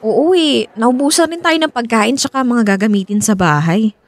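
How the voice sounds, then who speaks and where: A woman's voice: fairly high pitch, expressive, normal speed, slight background noise. A woman acting a young married woman in a Filipino radio drama, with a Filipino accent and an easily flustered, evasive manner. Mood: chatty.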